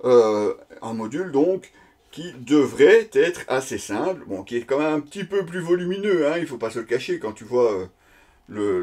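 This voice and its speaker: Male product reviewer, mid-range pitch, conversational and unscripted, feeling his way through an unboxing. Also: darkish tone. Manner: hesitant, improvised, conversational delivery. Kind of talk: casual unboxing commentary.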